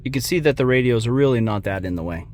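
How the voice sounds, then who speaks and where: An American man speaks at a medium pitch in a plainspoken, informal way. He sounds like a hobbyist vlogger giving a casual hands-on walkthrough, explaining his own work in everyday language. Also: animated, fast, a little mellow, a little hiss or hum. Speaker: vlogger showing his vehicle radio install.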